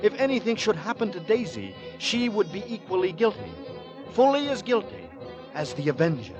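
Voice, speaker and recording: An actor as the grave, suspenseful storyteller of a horror tale, narrating ominously in 1940s radio style. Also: male voice, high voice, very animated, normal speed. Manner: dramatic, ominous. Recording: dull 1940s radio recording. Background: loud dramatic music.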